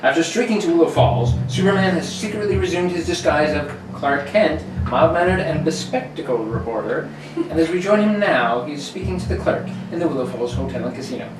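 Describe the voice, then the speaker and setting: A man's voice: a little high, lively intonation, fairly quick. A man giving dramatic, hammy narration as the announcer of a 1940s-style radio serial. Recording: very echoey distant room, noisy.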